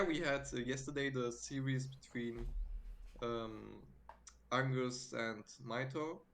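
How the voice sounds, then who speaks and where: A man who speaks English as a second language chats before a match as co-caster. He talks hesitantly, pausing on fillers and laughing softly. His pitch sits in the middle range. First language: not English. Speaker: esports co-caster. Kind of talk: casual pre-show chat.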